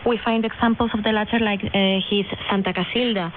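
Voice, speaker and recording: A woman radio interviewer putting prepared questions in a polite, measured way over shortwave. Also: medium pitch, steady tone. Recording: tinny shortwave radio with static. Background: shortwave static and clicks.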